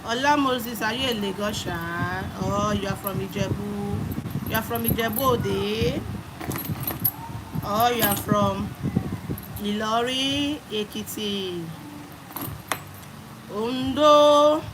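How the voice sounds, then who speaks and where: A woman's voice: mid-range voice, slow pace, pauses often. A young adult woman with a Nigerian accent, live streaming and chatting with viewers, greeting them by name and repeating her phrases. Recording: loud background noise.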